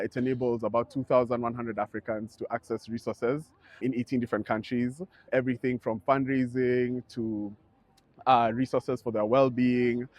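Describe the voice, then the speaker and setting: A young man speaks earnestly and with care, weighing his words as he reflects. His voice sits at an ordinary middle pitch. The recording is dry and close, with no room echo. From Kenya. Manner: thoughtful, measured, earnest. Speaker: founder of an advocacy network. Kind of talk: reflective interview monologue.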